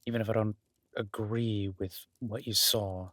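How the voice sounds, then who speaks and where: A man playing a kind, protective woodsman in an intimate roleplay audio drama, speaking in an unhurried, reassuring and wryly teasing way with a husky voice. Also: lowish voice, fairly even intonation, no room sound. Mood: teasing, gentle. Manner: soft-spoken, unhurried, reassuring, wryly joking. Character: gruff-kind woodsman host, gently teasing, protective cabin dweller.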